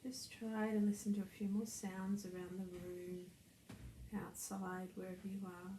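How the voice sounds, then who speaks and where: A woman guides a meditation at a slow, unhurried pace. Her voice is mellow and soft, soothing as she gently gives breathing instructions. The recording has a roomy echo around her. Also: medium pitch, flat delivery. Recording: roomy echo, faint background noise.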